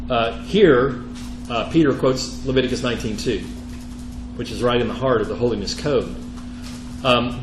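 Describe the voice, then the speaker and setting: A man who is a native Italian speaker, teaching a religion class in an earnest, emphatic way and often putting questions to his students. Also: medium pitch. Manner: earnest, emphatic, quizzing listeners with rhetorical questions. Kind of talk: interactive religious lecture. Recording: roomy, echoey classroom with clear background noise.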